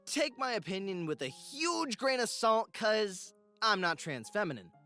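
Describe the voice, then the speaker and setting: Female reader for commentary, slow pace, very varied intonation. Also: medium pitch, sing-song, sharp tone. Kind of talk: read-out commentary. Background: background music.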